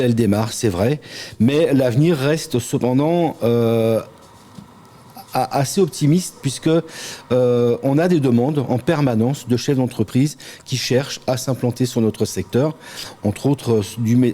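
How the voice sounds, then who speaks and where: A middle-aged man, a local elected official, answering interview questions in a measured way with frequent hesitant pauses. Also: mid-range voice, warm tone. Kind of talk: interview answers, political talk.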